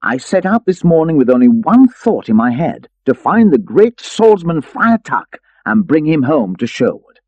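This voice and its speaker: Male reader as confident outlaw chief, lifted pitch, animated, commanding, cheerful, storybook hero. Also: moderate pace, hardly any pauses, no echo. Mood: warm, eager. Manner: rousing, commanding.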